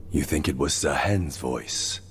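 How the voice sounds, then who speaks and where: Steadfast veteran seneschal, very low voice, slow solemn formal speech, video game. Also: male voice, soft background music. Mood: grave, resolute. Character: loyal veteran warrior seneschal, grave and steadfast.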